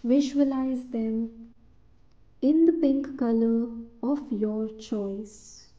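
A woman narrating a scripted guided meditation in a soothing, deliberate way, in short phrases with pauses between them. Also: fairly high pitch, warm tone, lo-fi sound.

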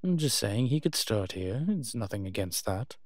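A man's voice: fairly low pitch, very animated, normal speed, dry recording, studio quality. A man playing a refined, centuries-old vampire in a roleplay audio drama, pompous, wry and teasing. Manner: pompous, wry, posh turns of phrase.

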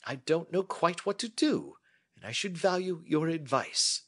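A man's voice: on the high side, expressive, unhurried, fairly bright tone, husky, pitched above the performer's own voice, heavily acted. A man reading as a brilliant, self-assured Victorian detective who explains his deductions precisely. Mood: explaining.

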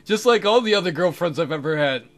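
A man's voice: on the high side, animated, quick pace, soft background music. A man voicing a clingy, lovelorn teenage boy from a dating game, reading the dialogue earnestly and dramatically. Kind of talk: visual novel dialogue read aloud.

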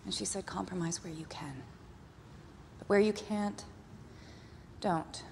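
An actress playing a solemn, resolute woman giving a quiet, measured eulogy in a film drama. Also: lowish voice, steady tone, gravelly, slight background noise. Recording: film clip with music underneath.

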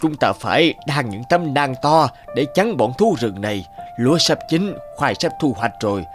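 Người đàn ông đóng ông lão nông dân nghiêm khắc. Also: male voice, mid-range voice, expressive, moderate pace, soft background music, lightly acted. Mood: worried. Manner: firm, scolding. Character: stern old male farmer, scolding and unforgiving. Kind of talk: animated cartoon dialogue.

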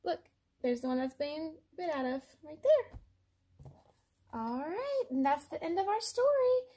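A woman's voice: high voice, lively intonation, a little slow, mellow. A woman in her thirties presenting a children's read-aloud story time, speaking indoors into a camera mic.

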